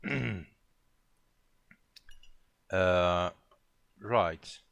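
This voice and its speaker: A man's voice: sits low, drawn-out words, gravelly, a bit bright, no echo. A man from Poland chatting casually during a pair-programming session, rambling and thinking aloud as he goes. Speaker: programmer co-hosting a live coding session.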